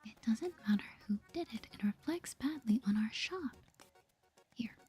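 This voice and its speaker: Breathy whispering woman, soft and mellow. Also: on the high side, lively intonation, normal speed, soft background music. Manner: gentle, playful, thinking aloud. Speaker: gaming video host. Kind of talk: soft-spoken ASMR game playthrough, reading dialogue aloud.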